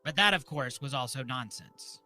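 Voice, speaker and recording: A man hosting a comedy ceremony like a mock-solemn preacher, deadpan, speaking in deliberate short phrases. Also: medium pitch, soft background music. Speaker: host and mock officiant of a comedy live show.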